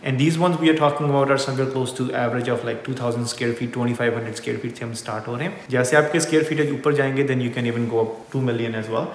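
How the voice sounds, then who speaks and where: A man's voice: mid-range voice, measured pace, hardly any pauses. A man, around his thirties, explaining things informally as a property guide, switching between Hindi and English. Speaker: real estate agent guest. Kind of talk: informal explaining on a house tour. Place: large house interior, indoors. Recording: echoey empty house, some background noise.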